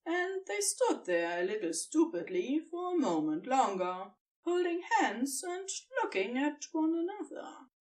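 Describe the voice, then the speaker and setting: A woman reading scripted fan fiction narration in an expressive storytelling manner. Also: high-pitched, measured pace, recorded from a distance.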